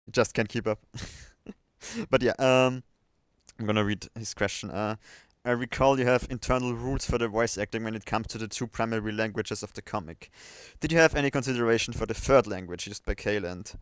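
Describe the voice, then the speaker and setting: A man's voice: on the low side, clean tone, no room sound, clean studio sound. A man chatting casually as the host of a livestream about his video editing work. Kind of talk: casual chat.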